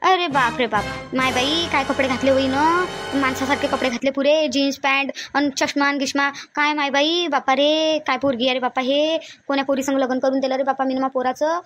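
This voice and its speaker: A woman's voice: high voice, fairly even intonation, moderate pace, a bit bright, faint music behind. A woman voicing a bossy, suspicious older mother-in-law in a cartoon family skit, insistent and repetitive, with a Vidarbha Marathi accent. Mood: outraged, scolding. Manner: scolding, insistent, repeats her demands.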